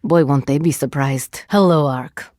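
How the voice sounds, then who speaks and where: A woman voicing a video game cyborg woman, curious, literal-minded and coolly menacing, in formal, measured phrasing. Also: rumbling low, a little mellow, no echo, clean studio sound. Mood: calm, curious. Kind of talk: video game character voice lines.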